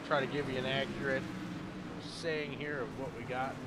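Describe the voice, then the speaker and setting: A man calling a live dirt-track race as the track announcer, animated and repeating phrases for emphasis. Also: mid-range voice, moderate pace. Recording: loud engine and track noise. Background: race cars and outdoor track noise.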